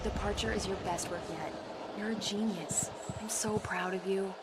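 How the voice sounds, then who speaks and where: A woman's voice: medium pitch, normal speed, lightly acted. A woman acting in a video game as a writer's coaxing wife with an intimate, dramatic delivery. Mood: coaxing, soothing. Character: dark presence posing as a writer's coaxing wife. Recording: heavy ambient noise behind the voice.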